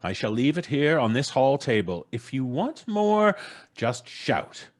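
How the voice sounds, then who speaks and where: A man's voice: medium pitch, very animated, unhurried. An actor playing a grumbling, stammering, sceptical father in an old-time radio comedy. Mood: blustering. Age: middle-aged. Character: blustering, practical, sceptical husband and father. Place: small home studio on a video call. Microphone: close studio microphone.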